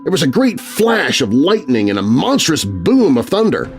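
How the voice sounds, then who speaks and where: A man performs an earnest older teenage boy in a kids' horror tale. He is stern and ominous, speaking like a campfire storyteller, with a very animated delivery over faint music. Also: on the high side, moderate pace, creaky, voice pushed up, full character voice. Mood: spooky storytelling. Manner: stern, hauntingly warning. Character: earnest eldest teenage brother, ominous, stern believer in legend.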